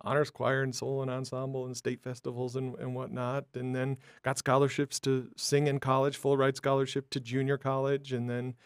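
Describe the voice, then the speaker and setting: A man being interviewed as a startup founder, telling his story in a lively, talkative way. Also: medium pitch, moderate pace, hardly any pauses, smooth, no echo, clean studio sound. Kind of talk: conversational interview.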